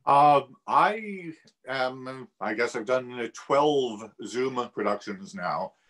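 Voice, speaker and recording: A man, an actor on a discussion panel, explaining things in an articulate, measured and reflective way. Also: medium pitch, expressive, fairly bright tone.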